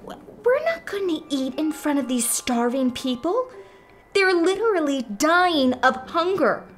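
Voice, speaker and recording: A woman's voice: high voice, sing-song, a little slow, a little hiss or hum. A woman playing an earnest, conscientious starship doctor in a comedic sci-fi radio play, precise and easily exasperated. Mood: indignant.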